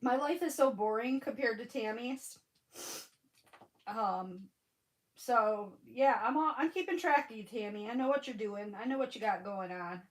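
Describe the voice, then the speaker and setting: A woman's voice: fairly high pitch, unhurried, low-quality recording. A woman in her forties with a Michigan accent and a stuffy nose, chatting casually on a live stream and greeting viewers by name. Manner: frequent fillers and restarts. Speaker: livestream crafting host.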